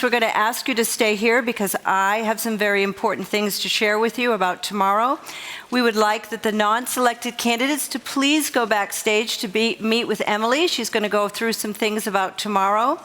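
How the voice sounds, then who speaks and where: High-voiced woman encouraging a group. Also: steady tone, moderate pace, fairly bright tone. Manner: encouraging, instructive. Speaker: competition official addressing finalists. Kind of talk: spoken address to a group, informal. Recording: live hall with crowd noise.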